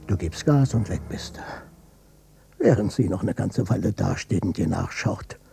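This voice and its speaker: Radio drama son, watchful and brooding, creaky voice that swings widely between murmur and outburst. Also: male voice, low voice, lively intonation, normal speed, dark tone, soft background music, heavily acted. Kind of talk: radio drama interior monologue.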